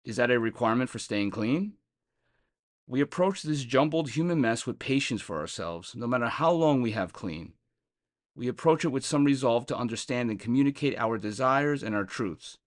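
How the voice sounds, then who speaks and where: Man, medium pitch, scripted meditation reading, podcast reader, dry recording. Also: expressive, normal speed, no echo. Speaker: guest reader on a recovery podcast.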